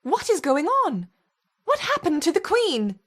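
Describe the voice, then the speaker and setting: High-voiced, commanding supervisor. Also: female voice, huge pitch swings, unhurried, a bit bright, heavily acted. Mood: alarmed. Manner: clipped, formal, commanding. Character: brisk, authoritative female project supervisor, coolly impatient. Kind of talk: acted video game dialogue.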